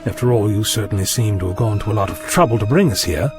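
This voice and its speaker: An actor playing a quick-witted, wry, heroic time traveller in an audio drama. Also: male voice, fairly low pitch, lively intonation, over music, natural voice. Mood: wry, composed.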